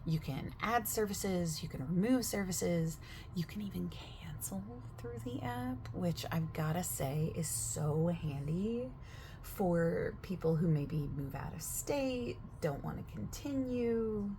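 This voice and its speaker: A woman talks quietly and at length, overexplaining like a gym clerk in an ASMR roleplay. Her voice sits low with plenty of vocal fry, and she keeps drifting from soft speech into whispers. A faint hiss runs underneath. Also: lively intonation, slow pace. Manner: soft-spoken, slipping into whispers mid-sentence; chatty, overexplaining. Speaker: gym staff member in a roleplay.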